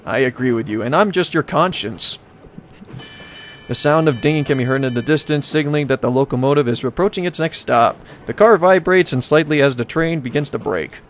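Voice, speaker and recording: A man's voice: mid-range voice, lively intonation, a little fast, slight background noise. A man narrates a visual novel in a casual let's play style. He slips between reading and playful, wry remarks, with music running behind his voice.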